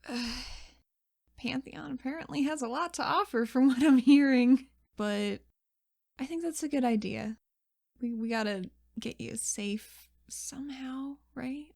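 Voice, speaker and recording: A woman's voice: high voice, measured pace, gravelly, a little mellow, exaggerated voice. A woman playing a casual, understated female regulator in a tabletop game, dry and easygoing. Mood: supportive. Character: casual, wry female regulator, tough but lonely.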